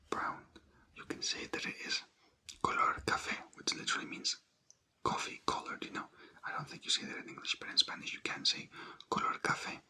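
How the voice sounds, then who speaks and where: A language teacher giving an ASMR vocabulary lesson in a breathy whisper, repeating words and spelling them out letter by letter. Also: pushed and bright.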